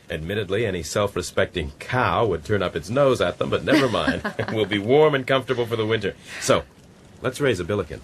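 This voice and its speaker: Male castaway lead for radio drama, played by an actor: low register, earnest, gentlemanly, resolute, with clear background noise. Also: lightly acted. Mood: cheerful, relieved.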